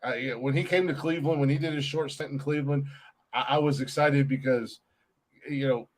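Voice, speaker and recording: A man's voice: medium pitch, steady tone, brisk. A man hosting a comedy podcast, bantering casually, laughing often and rambling with fillers. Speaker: podcast host.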